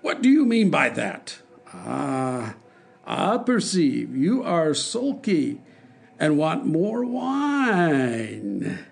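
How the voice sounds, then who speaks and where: A man voicing a cruel, blustering king who mocks and bullies, speaking louder and higher than his own reading voice. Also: huge pitch swings, drawn-out words, creaky, breathy edge, full character voice. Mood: domineering. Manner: loud, mocking, bullying.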